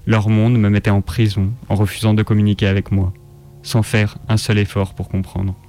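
A man's voice: lowish voice, level tone, normal speed, warm tone, a little hiss or hum. A man reading a first-person literary narrative as scripted radio narration. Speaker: reader on a radio show.

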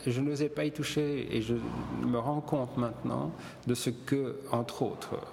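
A middle-aged man from Belgium tells his stories slowly, stopping and restarting his sentences. His voice has a gravelly edge. The recording carries a long reverb tail and a faint background hum. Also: mid-range voice, steady tone, a little mellow. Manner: hesitant, many fillers and restarts. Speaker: hairdresser, gallery owner and publisher. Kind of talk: relaxed interview storytelling.

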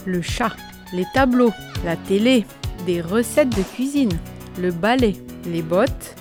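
A woman's voice: medium pitch, expressive, takes it slowly. A woman hosting a student radio show, reading playful scripted lines in rhythm. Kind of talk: scripted radio jingle and announcements. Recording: music bed under the voice.

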